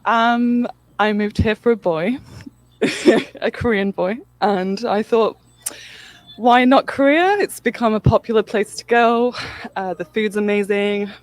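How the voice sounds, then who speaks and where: A woman answering an interview in a relaxed, hesitant way with many fillers. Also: medium pitch, takes it slowly, no echo. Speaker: interviewee, English teacher.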